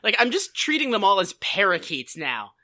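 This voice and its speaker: Bright, animated woman riffing on television. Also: mid-range voice, normal speed. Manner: sarcastic, profane, riffs in imagined quotes. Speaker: podcast co-host. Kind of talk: casual comedic TV commentary.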